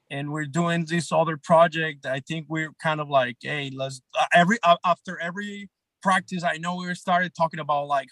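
A man in his thirties talks loosely about his band, restarting sentences and checking in with the others. His voice sits a bit high for a man. The sound is close and dry, with no room around it. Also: fairly bright tone, dry recording, poor audio. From Colombia. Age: 30s. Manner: rambling, many restarts and "right?" checks. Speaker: band guitarist and songwriter guest. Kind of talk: casual interview chat.

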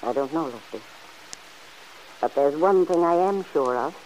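An actress playing a kindly, principled older aunt who chides gently and earnestly in an old-time radio serial. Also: female voice, low voice, animated, unhurried, rough recording. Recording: hissy old radio recording.

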